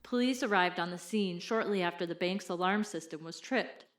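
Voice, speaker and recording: A woman's voice: on the low side, moderate pace, lightly acted. A woman playing a composed television news anchor in an audio drama, delivering a formal bulletin. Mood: composed, grave.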